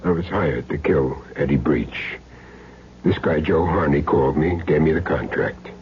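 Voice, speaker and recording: A man's voice: very deep voice, moderate pace. An American man acting a cagey small-time hired gun in an old radio crime drama, streetwise and earnestly insisting he is clean. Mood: cagey, dry. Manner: streetwise, plain slangy talk, earnest self-justifying. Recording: dull, noisy old radio recording with hum.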